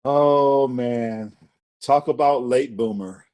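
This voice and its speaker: A man's voice: mid-range voice, takes it slowly. A man in his forties, a spoken word poet, talking casually as an interview guest and telling stories with emphatic rises. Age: 40s. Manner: expressive storyteller, emphatic rises.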